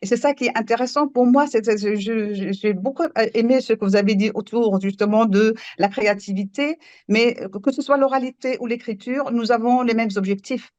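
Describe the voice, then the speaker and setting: A woman who grew up in Morocco, a storyteller whose mother tongue is Moroccan Arabic, talks thoughtfully and pauses to find her words. Her voice comes through a remote link that sounds muffled and very dry, with no room echo. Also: on the high side, steady tone, a little mellow. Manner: earnest, searching for words, reflective. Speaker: storyteller and workshop leader. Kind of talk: panel discussion. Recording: dull dry remote audio.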